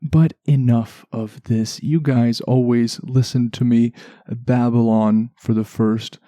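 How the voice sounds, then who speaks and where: A man hosting a relaxation podcast in a soft, soothing voice, chatting gently and without hurry, lulling enough to send listeners to sleep. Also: medium pitch, fairly even intonation, no echo, clean studio sound. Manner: gentle, unhurried, chatty asides. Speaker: podcast host.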